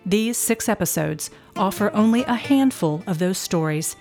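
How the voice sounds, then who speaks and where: A woman host giving scripted documentary narration in a steady, composed delivery. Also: mid-range voice, moderate pace, faint music behind. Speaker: podcast narrator.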